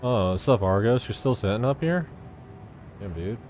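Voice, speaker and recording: A man's voice: on the low side, animated, moderate pace, darkish tone, a little hiss or hum. A man giving casual, offhand and sweary commentary as he streams a video game.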